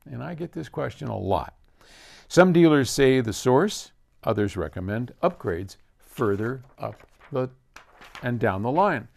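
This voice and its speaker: A man's voice: mid-range voice, lively intonation, unhurried, creaky, a little mellow. An older man hosts casually, answering a viewer's question in an emphatic, opinionated way. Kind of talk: casual explanatory talk to camera.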